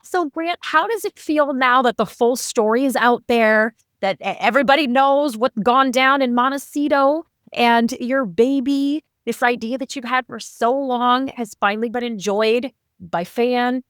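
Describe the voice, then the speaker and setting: A woman with a fairly high voice hosts a conversational interview. She addresses her guests as a podcast interviewer, recorded very dry with no room sound. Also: a little slow, hardly any pauses, no room sound.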